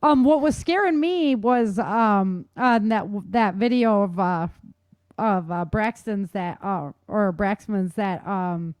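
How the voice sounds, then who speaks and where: A woman's voice: medium pitch, takes it slowly, a little mellow, clean tone. A woman co-hosting a casual chat, speaking informally and chiming in. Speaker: show co-host.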